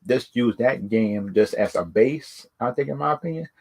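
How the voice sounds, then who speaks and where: A man's voice: mid-range voice, moderate pace, a little mellow, breathy edge. A man giving casual, unscripted opinions as a video host, rambling and often repeating words and stock phrases. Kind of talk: casual unscripted opinion talk on films and games.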